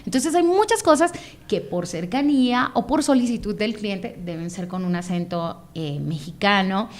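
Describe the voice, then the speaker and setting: A woman's voice: medium pitch, normal speed. A woman from Bogotá with a Bogotá Colombian accent, a voice actress explaining her craft in an interview and demonstrating voices as she talks. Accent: Bogotá Colombian Spanish. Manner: expressive, demonstrates voices mid-sentence.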